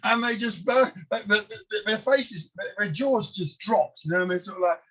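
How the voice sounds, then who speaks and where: A man's voice: high-pitched, moderate pace, sharp tone, breathy edge. A man in his sixties with a London accent, a native Polish speaker, telling rambling, self-deprecating stories as a comedian being interviewed. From England. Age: 60s. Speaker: comedian and guest. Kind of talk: casual interview chat.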